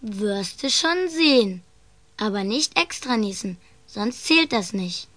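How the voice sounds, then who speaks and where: A woman's voice: mid-range voice, animated, slow pace, a bit bright, dry recording. A woman playing a matter-of-fact, teasing young brother in a children's audio play. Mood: teasing, casual.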